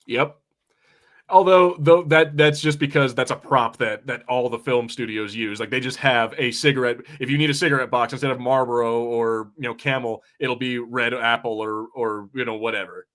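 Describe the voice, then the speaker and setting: A man's voice: mid-range voice, steady tone, moderate pace, fairly bright tone. Rambling man chatting casually on a podcast. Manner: rambling, self-correcting, casually profane. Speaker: podcast co-host. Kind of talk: casual watch party chat, reading viewer comments.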